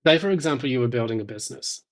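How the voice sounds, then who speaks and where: Male tarot reader, mid-range voice, unscripted and explanatory, measured delivery that pauses often between thoughts. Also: mid-range voice, animated. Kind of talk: intuitive tarot reading, addressed to the listener.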